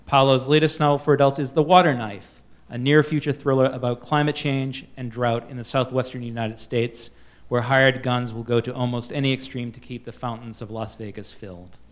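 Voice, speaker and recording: A man's voice: medium pitch, fairly even intonation. A man moderating a book festival panel, reading out author introductions with frequent fillers and restarts. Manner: halting, many fillers and restarts.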